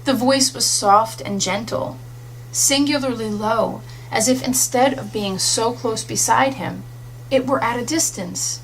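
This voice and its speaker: Female storyteller, higher register, expressive scripted audiobook read, faint background noise. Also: a little slow, poor audio. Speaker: audiobook reader. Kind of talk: expressive scripted literary narration. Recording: audible hum and faint noise.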